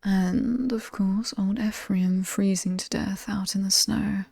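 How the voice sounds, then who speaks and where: A woman acts out an ageless, seductive demon hostess with a British accent. She half-whispers very close and dry, drawing out her words at an unhurried pace. Her mellow, crackling voice is teasing and quietly sinister, made for horror ASMR. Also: medium pitch, fairly even intonation, creaky, no echo. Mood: sly, sinister. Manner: seductive, teasing, theatrically ominous, lingering on words. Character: alluring, sinister ageless demon woman showing off haunted mansion.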